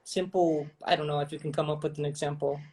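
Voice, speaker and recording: A man's voice: a little high, level tone, fast, darkish tone. A man from Brazil with a Brazilian Portuguese accent, hosting a bilingual English lesson with many hesitant fillers. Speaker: English teacher and host.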